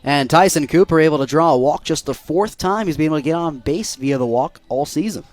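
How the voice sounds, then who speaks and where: A man's voice: a little high, expressive, a little fast, a little mellow. A young American man doing live radio play-by-play of a baseball game as the announcer.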